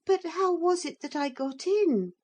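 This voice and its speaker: A woman's voice: extremely high pitch, lively intonation, measured pace, a little mellow, lo-fi sound, pitched above the performer's own voice. A woman reading a storybook, voicing an anxious young boy who pleads and asks questions eagerly. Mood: pleading.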